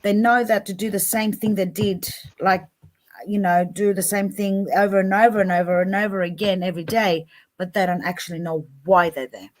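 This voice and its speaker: A woman's voice: medium pitch, steady tone, moderate pace. Middle-aged aussie woman on a chat show, sympathetic and emphatic, medium voice. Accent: Australian. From Australia. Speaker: guest. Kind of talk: casual chat.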